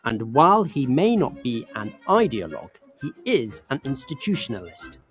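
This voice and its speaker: A man reading scripted explainer narration in a crisp, measured way. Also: mid-range voice, huge pitch swings, fairly bright tone, faint music behind. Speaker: documentary narrator.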